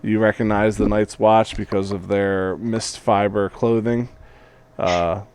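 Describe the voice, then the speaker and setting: A man's voice: fairly low pitch, a little mellow, slight background noise. A man running a tabletop game as game master, joking and laughing between bits of narration. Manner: joking, laughing, profane banter.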